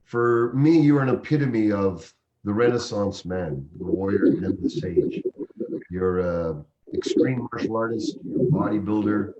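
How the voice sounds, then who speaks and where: A man's voice: on the low side, a little slow, mellow, dull audio. A man born in Uganda hosting a livestream interview, introducing his guest with effusive, reverent praise. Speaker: host.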